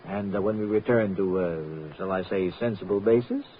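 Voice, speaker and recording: Man as a smooth menacing crime boss, tinny radio. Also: low-pitched, lightly acted. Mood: resigned, suave. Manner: deliberate, mockingly polite, echoing questions. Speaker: radio drama actor. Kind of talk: old-time radio acting. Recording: tinny, noisy vintage radio recording.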